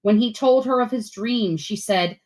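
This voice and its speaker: A woman reading aloud as a teacher to schoolchildren, with expressive, deliberate phrasing. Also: a little high. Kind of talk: read-aloud storytelling and simple instructions for children.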